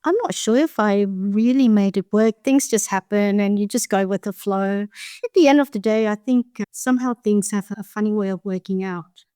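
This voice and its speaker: Smooth-voiced middle-aged woman. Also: mid-range voice, moderate pace, mellow, no echo, clean studio sound. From Australia. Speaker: professor of anaesthesiology, guest. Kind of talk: podcast interview.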